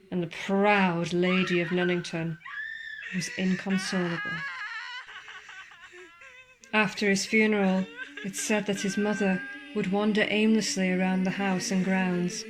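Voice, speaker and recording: A woman narrates a ghost story in a theatrical, suspenseful manner, with deliberate pauses and formal, old-fashioned wording. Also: medium pitch, noisy background. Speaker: ghost walk guide and storyteller. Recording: clear background ambience under the voice. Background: spooky horror ambience sounds.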